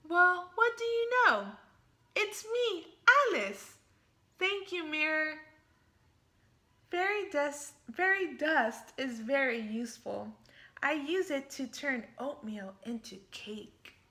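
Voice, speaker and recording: A woman reading a picture book aloud as an imaginative little girl who thinks she's a fairy, with lively, playful emphasis. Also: high-pitched, slow pace, voice pushed up, exaggerated voice.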